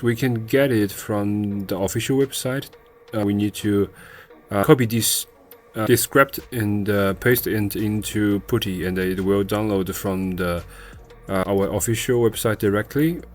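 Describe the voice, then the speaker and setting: Low-pitched man with halting delivery. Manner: hesitant, halting delivery with searching pauses. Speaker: software tutorial presenter. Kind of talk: step-by-step screen tutorial, unscripted.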